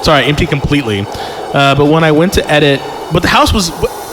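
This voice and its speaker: A man's voice: fairly high pitch, expressive, a little fast, background noise. A man hosting a comedy podcast, reading a story aloud and breaking in with incredulous, sweary reactions. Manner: exclamatory, incredulous, sweary asides. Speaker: podcast host and story reader.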